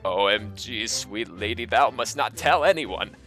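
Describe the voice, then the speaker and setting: A low, suave young heartthrob from another time, acted out by a man, melodramatic and courtly in a comic fan fiction reading. Music sits behind the voice. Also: lively intonation, measured pace, fairly bright tone. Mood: pleading.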